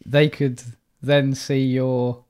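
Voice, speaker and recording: A man in his thirties co-hosting a podcast, dry and teasing and quick to laugh. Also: mid-range voice, level tone, a little mellow, smooth. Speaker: podcast co-host. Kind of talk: casual chat.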